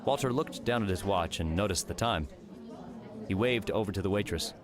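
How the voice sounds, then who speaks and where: A man with a low voice narrates an audiobook story from a script. Music plays clearly behind his reading. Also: moderate pace.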